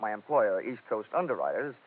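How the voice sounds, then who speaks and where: A tough, wisecracking American private investigator from 1950s radio noir. His pitch swings in a lively way as he delivers clipped, sardonic lines. The sound is tinny and narrow like a phone line, with some noise. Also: brisk. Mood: sardonic, businesslike. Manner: wry, clipped, hard-boiled wisecracks. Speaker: lead actor and narrator in detective drama.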